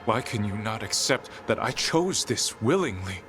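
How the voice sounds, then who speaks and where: An actor voicing a solemn, devoted elven zealot in a video game, speaking in a grave, formal and fervent way. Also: male voice, lowish voice, big pitch swings, normal speed, a bit bright. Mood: devout. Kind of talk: dramatic video game voice acting. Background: music.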